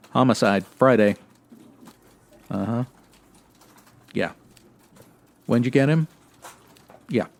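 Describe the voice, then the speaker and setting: An American man playing a stern, by-the-book police sergeant in a 1950s radio detective parody, clipped and deadpan. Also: medium pitch, gravelly. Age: middle-aged.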